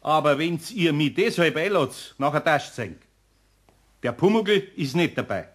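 An actor plays an older Bavarian craftsman in a radio play. His voice sits fairly high for a man and swings widely in pitch. He is grumbly underneath but good-natured. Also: animated, moderate pace, close to an everyday voice. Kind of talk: German radio play acting.

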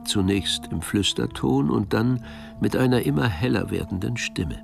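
A man reads literary narration for a radio drama. His voice sits fairly low and has a creaky grain. Music plays clearly behind him. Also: moderate pace.

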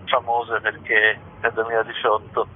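Male radio guest, informative interview delivery, slightly husky, narrow phone-line sound. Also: on the low side, monotone, normal speed. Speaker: library institution representative, interview guest. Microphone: telephone.

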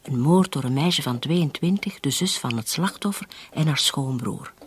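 A woman playing a commissioner's inquisitive, persistent wife in a radio drama, with a husky, breathy voice. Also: low voice, animated, moderate pace, slight background noise. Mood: curious, insistent. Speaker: actor in a radio play. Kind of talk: Dutch-language radio drama dialogue.

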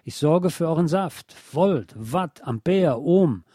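Man reading as a boastful little electricity goblin, slightly raised pitch. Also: expressive, slow, warm tone, no room sound, studio quality. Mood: boastful, proclaiming.

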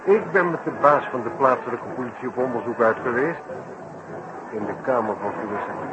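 An actor playing a brisk, teasing colleague of a detective in a 1960s radio drama. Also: male voice, medium pitch, lightly acted. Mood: brisk, informative. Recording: muffled, noisy old radio recording.

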